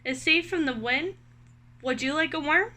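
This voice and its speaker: A woman's voice: high voice, moderate pace, bright, forceful tone, voice pushed up. A woman voicing a busy, hospitable mother bird in a children's storybook, brief and bustling. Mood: bright, busy.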